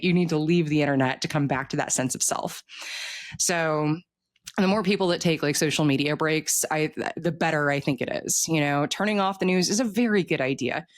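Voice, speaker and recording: Animated, casual talker in a conversation. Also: mid-range voice, no room sound, clean studio sound.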